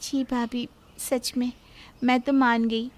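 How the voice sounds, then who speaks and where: Woman doing a sweet humble young bahu, really high voice, always smoothing things over, family story. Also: level tone, slow pace. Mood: admiring. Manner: placating, deferential. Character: sweet, humble, conciliatory young Indian daughter-in-law. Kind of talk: Hindi family moral-story acting.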